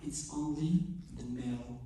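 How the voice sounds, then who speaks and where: A choreographer from Morocco, answering audience questions after a show and searching for English words. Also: male voice, a little high, unhurried, dark tone, recorded from a distance, slight background noise. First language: not English. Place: theater stage. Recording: echoey theater heard from afar.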